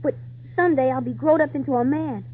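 A woman's voice: high-pitched, quick pace, lightly acted. A woman playing an earnest, plucky runaway boy with folksy, breathless speech in an old-time radio drama. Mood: earnest, hopeful. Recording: tinny, hissy 1940s radio recording.

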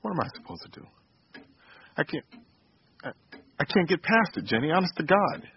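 An actor playing a guilt-ridden, grieving husband and father in a radio drama, halting and pleading. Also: a little high, very animated, lo-fi sound, a little hiss or hum. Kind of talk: naturalistic radio drama acting.

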